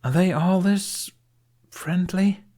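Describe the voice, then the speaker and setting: Casting: man as young female warrior, guarded, blunt, questioning, raised pitch, audiobook dialogue. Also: slow pace, warm tone. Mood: tentative wonder.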